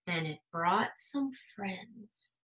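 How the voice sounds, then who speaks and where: An American woman, a school principal, reading a children's story aloud in an animated, emphatic way. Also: medium pitch, very slow, a little hiss or hum. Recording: roomy, echoing sound.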